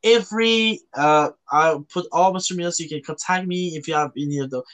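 A man from Canada hosting a casual podcast, talking in a halting way and often rephrasing himself. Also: on the high side, a bit bright, clear, resonant tone. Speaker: podcast host.

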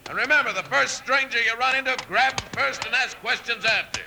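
An actor playing a hotheaded, bossy vigilante leader in an old western radio drama, gruff and scornful, on a noisy vintage recording. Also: male voice, very high-pitched, brisk, sharp tone, full character voice. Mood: angry, scornful.

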